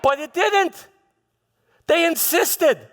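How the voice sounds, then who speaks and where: A man performing a live theatrical monologue as a proud, troubled Roman governor, halting and repeating his words with defensive frustration. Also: very high-pitched, slight background noise, heavily acted. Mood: agitated. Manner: halting, repeats words, swings from hushed to shouting. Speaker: preacher performing a dramatized monologue. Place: large church hall, live performance. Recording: echoey hall with long reverb.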